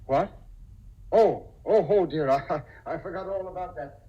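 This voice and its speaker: Flustered, husky-voiced timid businessman, muffled recording. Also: on the high side, unhurried, warm tone. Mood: flustered, fond. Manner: hesitant, stammering. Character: timid, kindly, flustered middle-aged businessman and proud father. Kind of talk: 1950s radio comedy-drama acting. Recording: muffled old radio recording.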